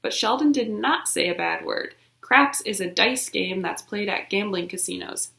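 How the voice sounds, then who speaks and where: A woman voicing a patient, dry-humored male schoolteacher who speaks calmly. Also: medium pitch, normal speed, gravelly. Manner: calm, gently wry. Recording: roomy echo.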